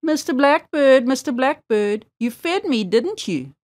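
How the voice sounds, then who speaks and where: A sly, hungry he-fox from a children's folktale, played by a woman reader. He wheedles and demands in insistent questions, with a lively, swinging melody to the voice. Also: sits high, unhurried. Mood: demanding, sly.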